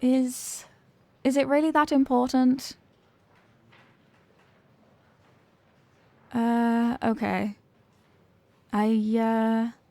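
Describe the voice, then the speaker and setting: A woman plays a popular cheerleader girl in an ASMR roleplay, talking one-on-one to her tutor. Her voice is fairly high with a creaky edge, recorded close and dry. She is evasive and easily flustered, hesitating and getting defensive. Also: a little mellow, no room sound. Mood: nervous, evasive. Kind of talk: ASMR roleplay, acted one-sided conversation.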